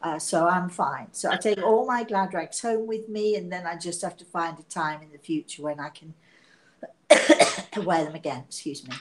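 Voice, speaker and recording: A woman in her sixties, hoarse and coughing from illness, chatting and laughing on a live stream from her living room, talking into a tablet camera. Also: on the low side, moderate pace. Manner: chatty, laughing, self-mocking. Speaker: psychic medium hosting a live show. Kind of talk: casual live-stream chat. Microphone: device camera at arm's length.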